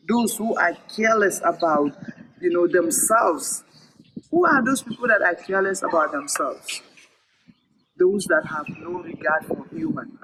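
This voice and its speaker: A man telling a story aloud in a deliberate, emphatic way, with phrases repeated for weight. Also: high voice, fairly bright tone. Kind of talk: oral storytelling read-aloud. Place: virtual event recording. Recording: clearly noisy recording with clicks.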